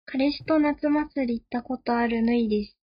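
A woman's voice: fairly high pitch, normal speed, husky, dull audio. A woman chatting casually as a semi-regular guest on a comedy radio show.

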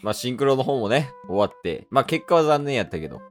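A man's voice: mid-range voice, very animated, quick pace, faint music behind. A man with a Kansai accent hosting a casual radio show with jokey, teasing banter. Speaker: show host and narrator.

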